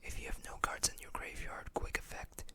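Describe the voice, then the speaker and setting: An ASMR host whispering in an unhurried way, reading text aloud and adding small asides. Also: bright, forceful tone. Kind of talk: whispered ASMR card showcase.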